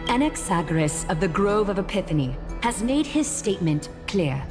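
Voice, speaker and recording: A woman's voice: mid-range voice, sing-song, normal speed, over music, close to an everyday voice. An actress playing a composed, authoritative female leader addressing a crowd formally. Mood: commanding. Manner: poised, commanding, formal.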